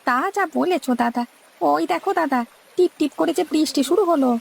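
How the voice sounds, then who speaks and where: A woman voicing an eager, deferential male boatman's helper in a cartoon, creaky, with a questioning, folksy manner. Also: high-pitched, brisk, a little hiss or hum. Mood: deferential, anxious. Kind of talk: cartoon story dialogue.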